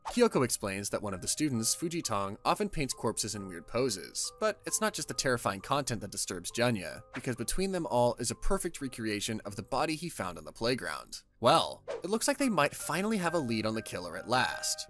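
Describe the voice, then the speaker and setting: A man's voice: mid-range voice, a bit bright. A man narrating a scripted story recap in an informal, wry storytelling manner.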